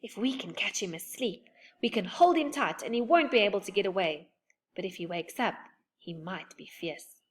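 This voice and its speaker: A woman's voice: medium pitch, expressive, normal speed, natural voice. A woman reading aloud and voicing a nervous young girl in a storybook. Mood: hushed.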